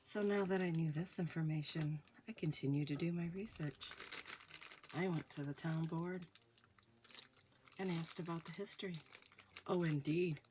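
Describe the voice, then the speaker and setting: Low-voiced woman telling a ghost story. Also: noisy background. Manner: slow, hushed, dramatic pauses. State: recovering from illness, voice sounds off. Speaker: storyteller host. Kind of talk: spoken ghost story narration.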